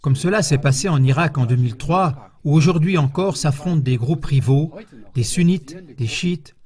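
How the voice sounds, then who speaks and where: A man reading a scripted news report in a measured, formal voice-over. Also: fairly high pitch, darkish tone. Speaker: news narrator.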